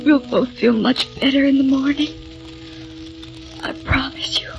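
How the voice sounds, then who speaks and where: Frail, loving young wife, high and slow, tender and halting, 1940s radio drama. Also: female voice, fairly even intonation, vocal fry. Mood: weak, soothing. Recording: dull old radio recording, music.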